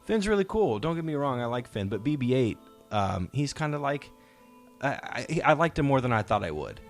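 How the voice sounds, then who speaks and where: A man's voice: medium pitch, big pitch swings, normal speed. A man hosting a podcast in casual pop-culture discussion, explaining his points and posing rhetorical questions. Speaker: podcast host. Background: soundtrack music playing faintly.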